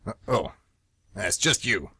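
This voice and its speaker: Man acting a frantic male teacher, paranoid, military-minded, condescending, lively pitch, dry sound. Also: slow pace, husky, no room sound, heavily acted. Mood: paranoid, urgent. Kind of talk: amateur radio comedy acting.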